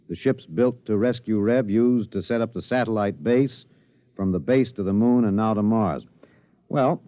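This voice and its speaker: A man playing an excited, obsessed radio reporter with an American accent, stammering and emphatic in 1950s radio drama style. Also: mid-range voice, dry recording, close to an everyday voice. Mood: eager. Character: excited radio newsman, agitated, obsessed with breaking a cover-up. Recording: dull vintage radio recording.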